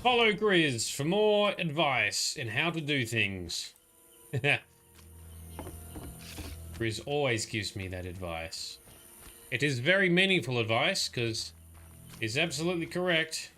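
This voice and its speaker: A man's voice: medium pitch, lively intonation, takes it slowly, pauses often, sharp tone. A man giving casual let's play commentary, chatty, swearing and laughing at the game. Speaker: streamer. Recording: game music behind voice. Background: game music.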